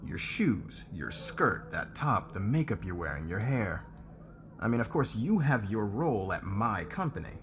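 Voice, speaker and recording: A man's voice: on the low side, sing-song, a bit bright. A man playing an arrogant, demanding company boss who talks down to people in an ASMR roleplay. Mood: scornful, taunting. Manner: condescending, commanding, deliberate.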